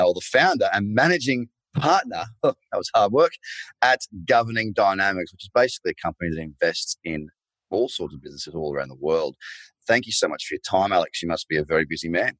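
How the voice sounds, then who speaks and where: A man's voice: fairly low pitch, fairly bright tone, no room sound, poor audio. A man hosting an interview show, chatty and enthusiastic, calling his guest "mate". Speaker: podcast host. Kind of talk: casual interview.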